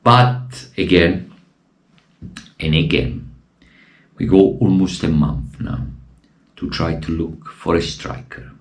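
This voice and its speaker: An Italian-accented man speaks to camera as a fan vlogger, emotional and fervent. His pitch swings widely while he keeps a slow, deliberate pace. His voice sounds a little strained and worn. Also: expressive. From Italy. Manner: emotional, passionate, bursts into shouted appeals. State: losing his voice. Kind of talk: impassioned fan commentary to camera.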